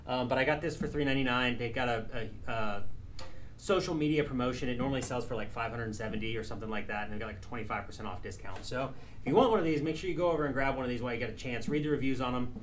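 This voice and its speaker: A man's voice: medium pitch, racing, slight background noise. A young man, an aircraft mechanic, talking casually to camera in an indoor workshop, rambling and correcting himself as he goes. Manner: rambling, with restarts and self-corrections. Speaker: aircraft mechanic and channel host. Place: indoor workshop classroom with benches and tool cabinets.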